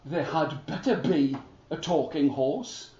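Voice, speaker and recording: A man's voice: fairly high pitch, animated, takes it slowly, dark tone, reverberant, dull audio, voice pushed down. A man doing a pompous, greedy fairy-tale king. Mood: demanding.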